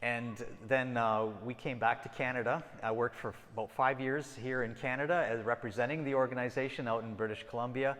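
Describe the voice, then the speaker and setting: A man's voice: mid-range voice, fairly even intonation, a little fast, hardly any pauses, fairly bright tone. A middle-aged man giving a conversational mission talk to a church congregation, often restarting his sentences. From Ecuador and Peru. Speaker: missionary guest speaker. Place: church sanctuary. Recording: long hall reverb.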